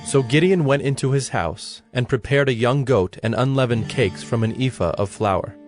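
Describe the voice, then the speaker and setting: A man narrating scripture from a script in a measured, storytelling manner. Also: mid-range voice, darkish tone, faint music behind.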